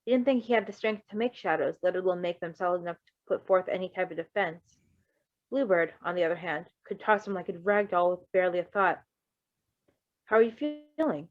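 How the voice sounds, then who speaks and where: A woman reads a story aloud with an expressive delivery and drops into chatty, sweary asides. The recording is dull and lo-fi. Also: lowish voice, quick pace. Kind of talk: reading fan fiction aloud with casual chatty asides. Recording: dull lo-fi microphone.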